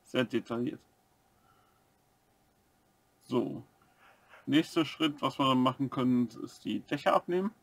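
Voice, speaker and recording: A man co-hosting a building-set review, explaining the features step by step in a relaxed casual way. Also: mid-range voice, measured pace, long pauses, dry recording. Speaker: co-host of a brick-building review channel.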